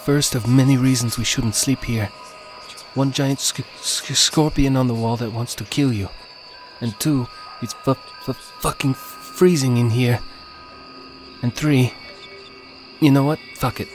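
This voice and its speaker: A man's voice: medium pitch, a little slow, faint music behind, pitched above the performer's own voice, close to an everyday voice. A man voicing a frightened, foul-mouthed young Texan woman in an audio story, stammering and sarcastic as she shivers. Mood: shivering, alarmed.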